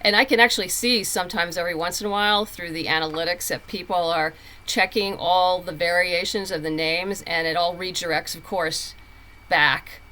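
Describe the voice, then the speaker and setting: A woman's voice: lowish voice, steady tone, normal speed, pushed and bright, rough recording. A woman speaking candidly and conversationally as a guest in a casual interview about her own work. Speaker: interview guest, comic book writer.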